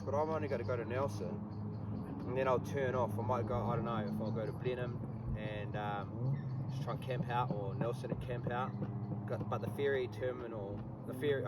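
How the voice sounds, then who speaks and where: A man with a New Zealand accent talking casually to camera as a motorcycle vlogger, rambling and laid-back with lots of ums and restarts. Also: medium pitch. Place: outdoors on a motorcycle by the highway. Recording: distant voice buried under loud wind and traffic noise.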